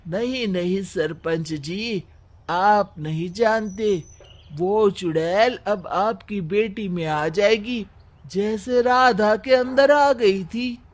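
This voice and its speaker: A man voices a determined young brother from a cartoon village. His voice is high and he declaims with emphasis. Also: slow pace. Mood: alarmed.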